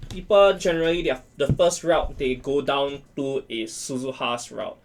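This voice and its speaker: A man's voice: mid-range voice, moderate pace, a little hiss or hum. A man chatting casually on a talk show, explaining things in an enthusiastic, geeky way. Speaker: talk show panelist explaining visual novels. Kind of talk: casual group chat.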